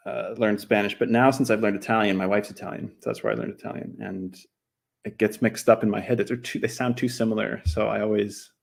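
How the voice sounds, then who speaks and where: Gravelly low-voiced American man chatting. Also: steady tone, a little fast. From New Mexico, USA. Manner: rambling, self-deprecating, slips into other languages. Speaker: cartoonist streaming while drawing. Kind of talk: casual live-stream chat.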